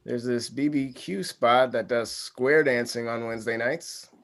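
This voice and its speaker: A man's voice: medium pitch, fairly even intonation, measured pace, fairly bright tone. A man playing a mild, sensible groom with a hesitant, understated manner in a comedy table read. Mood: wry. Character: mild, sensible groom, easily talked round.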